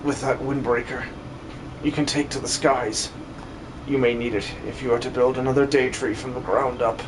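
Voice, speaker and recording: A man voicing a gentle old pastor on his deathbed in a fantasy game fan dub, speaking slowly and solemnly in halting phrases. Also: medium pitch, steady tone, background noise, close to an everyday voice. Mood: solemn, wise.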